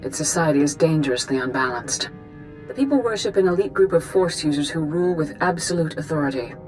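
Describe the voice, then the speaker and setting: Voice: medium pitch, expressive, brisk, faint music behind, natural voice, low-quality recording. An actor playing a solemn, authoritative master knight in a video game, formal and commanding. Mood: grave, commanding. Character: grave, authoritative Jedi master, commanding mentor.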